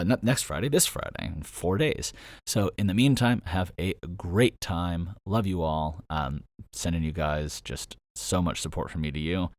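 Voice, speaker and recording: A man hosting his own audio drama podcast, talking through an episode in a loose, rambling way with lots of fillers, his voice slightly hoarse. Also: low voice, dry recording. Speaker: podcast host and show creator. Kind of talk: casual behind-the-scenes commentary.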